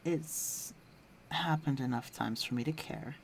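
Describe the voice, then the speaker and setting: A man's voice: fairly high pitch, measured pace, slight background noise. A man playing a flirtatious, teasing villain with a secretly tender side, speaking intimately to the listener in an audio roleplay. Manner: teasing, coaxing, breathy murmurs.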